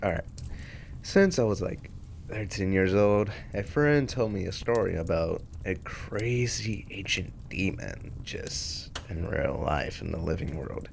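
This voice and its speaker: A performer voicing a casual, halting young hellhound in a fan comic dub. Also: sits low, lively intonation, vocal fry, slight background noise, full character voice. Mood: casual storytelling.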